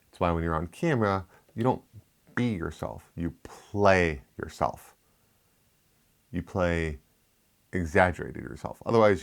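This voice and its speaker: A man with a lisp hosting a casual product review, chatty and rambling with frequent asides. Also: sits low. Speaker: tech reviewer, channel host.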